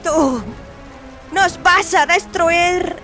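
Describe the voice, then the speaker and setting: An actress playing an anguished female clone in an audio drama, pleading in drawn-out phrases. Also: very high-pitched, sharp tone, soft background music, exaggerated voice. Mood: anguished accusing. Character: pleading female clone replica, anguished, later hollow and obedient.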